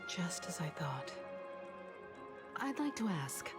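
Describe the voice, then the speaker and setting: A composed, analytical woman investigator speaks in a low voice. Loud music plays behind her. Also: very animated, slow. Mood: cool, pensive. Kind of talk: video game voice acting.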